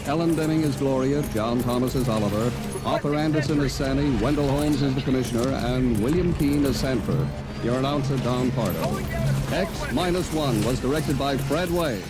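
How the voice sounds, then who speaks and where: Dramatic male radio announcer over loud music. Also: mid-range voice, moderate pace, rough recording.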